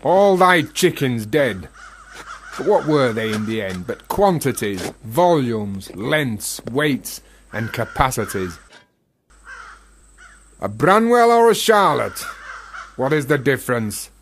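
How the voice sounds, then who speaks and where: An actor playing a bitter, obsessive gravedigger in a radio play, brooding and rhetorical, almost incantatory. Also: male voice, medium pitch, big pitch swings, takes it slowly, long pauses, slight background noise. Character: bitter, obsessive philosophical gravedigger, a working man. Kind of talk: radio play monologue acting.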